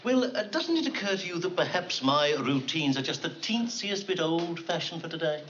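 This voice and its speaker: A prim old-fashioned entertainer, older man, lively swooping voice, distant, muffled old TV sound. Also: on the high side, moderate pace, slight background noise. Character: genteel, fussy older male children's entertainer. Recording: distant, dull old TV audio.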